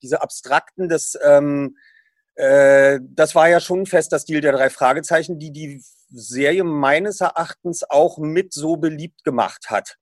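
A man's voice: a little high, unhurried. A man hosting a podcast who reads a book passage aloud and then interviews a guest in a casual, eager way.

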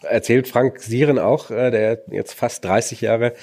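Creaky-voiced, hesitant male moderator. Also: medium pitch, fairly even intonation, unhurried, darkish tone. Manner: hesitant, searching for words. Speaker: interviewer, moderator. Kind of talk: conversational interview moderation.

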